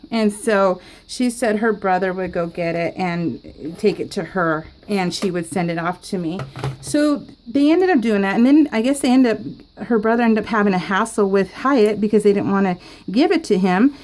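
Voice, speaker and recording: A woman's voice: mid-range voice, moderate pace, a little mellow, poor audio. A woman rambling through a story in casual chat, laughing often, recorded at home. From California. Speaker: scrapbooking vlogger. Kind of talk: casual storytelling while crafting.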